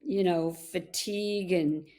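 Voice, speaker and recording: A woman's voice: on the low side, fairly even intonation, slow, mellow. A woman of seventy in recovery speaking earnestly about her health in an interview. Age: 70s. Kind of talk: recorded interview testimony.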